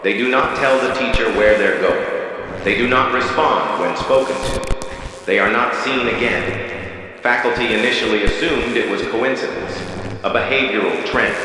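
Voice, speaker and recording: Guy doing an ominous emergency broadcast announcer, analog horror, crisp forceful voice, super echoey. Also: fairly low pitch. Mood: grave, official. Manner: solemn, measured, ominous. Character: grave male official announcer of an eerie emergency broadcast. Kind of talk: scripted analog horror public safety announcement. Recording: cavernous echo and reverb, noisy tape.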